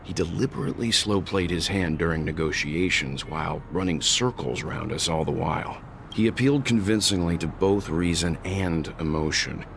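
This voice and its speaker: Deep, gravelly, grave male advisor. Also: a little hiss or hum. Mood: grave, guarded. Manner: deliberate, serious, reserved. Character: grave, methodical mature man, wary seasoned advisor. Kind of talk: scripted game story dialogue. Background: background music.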